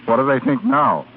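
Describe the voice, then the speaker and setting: An actor playing a suave young man in 1930s radio drama style, with a smooth, amused and lightly teasing manner. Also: mid-range voice, phone-quality sound. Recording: thin, noisy 1930s radio recording.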